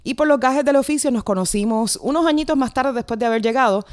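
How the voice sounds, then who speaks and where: A woman's voice: a little high, fast, dry recording, studio quality. A middle-aged Puerto Rican woman with a Puerto Rican accent, hosting a casual podcast interview in a chatty, friendly way. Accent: Puerto Rican Spanish. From Puerto Rico. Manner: friendly, chatty, laughs easily. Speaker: podcast host. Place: at home at night.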